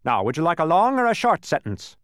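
A man voicing a tiny, stout, fierce storybook policeman, officious, blustering and quick to accuse. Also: on the high side, huge pitch swings, clear, resonant tone, no room sound, clean studio sound, pitched above the performer's own voice. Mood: brisk, self-satisfied.

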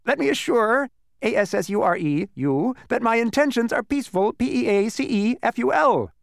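A man voicing a high-pitched, fussy giant bee. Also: lively intonation, moderate pace, vocal fry, no room sound, clean studio sound, exaggerated voice. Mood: eager, boastful. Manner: spells words out letter by letter. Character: giant pompous spelling bee, fussy, proud, excitable. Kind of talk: animated storybook character reading.

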